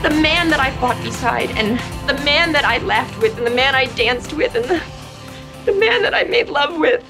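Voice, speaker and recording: A woman's voice: high-pitched, animated, normal speed. An actress playing an anguished, defiant woman soldier confronting her lover in an impassioned, insistent way in a television soap opera. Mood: anguished pleading. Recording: loud music over dramatic dialogue.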